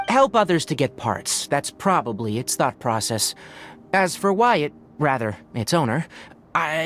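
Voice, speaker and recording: Brisk young healer, lively pitch. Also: male voice. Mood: pondering. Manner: matter-of-fact, precise, thoughtful hums. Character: brisk, clinical, dryly kind young male forest healer. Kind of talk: video game voice acting.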